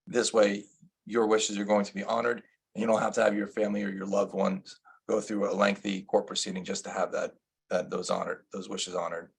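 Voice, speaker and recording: Man, attorney, webinar presenter, gravelly, husky, explanatory. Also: on the low side, flat delivery, fairly quick. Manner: explanatory, asks his colleague guiding questions.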